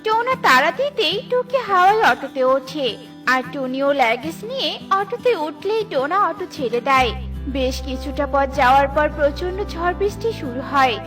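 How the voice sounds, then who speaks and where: A woman's voice: sits high, lively intonation, normal speed, over music. A woman reading scripted narration as the storyteller of a cartoon.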